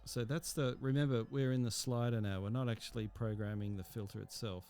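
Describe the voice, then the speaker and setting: A man teaching a software tutorial, explaining step by step in an unhurried way and thinking aloud with fillers. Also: mid-range voice, steady tone, soft background music. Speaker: instructor. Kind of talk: screen-recorded software tutorial.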